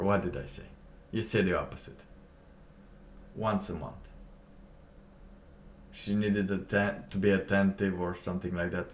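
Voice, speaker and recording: A man with a very low voice, talking slowly as he reacts to a clip on stream. He muses aloud and chuckles to himself. A faint hiss sits under the recording. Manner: slow, musing, laughs to himself. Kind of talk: casual commentary.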